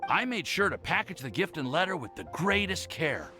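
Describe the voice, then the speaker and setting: Anxious lovestruck young dude in a game, swoopy bright voice, overly formal n sincere. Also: male voice, a little high, very animated, normal speed, soft background music. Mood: earnest, flustered. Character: earnest, anxious lovestruck young man, self-doubting. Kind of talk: video game voice acting.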